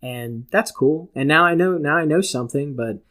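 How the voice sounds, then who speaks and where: A man's voice: on the high side, normal speed, sharp tone. A man chatting casually as a podcast co-host, telling stories in a profane, self-mocking way. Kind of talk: casual chat, storytelling.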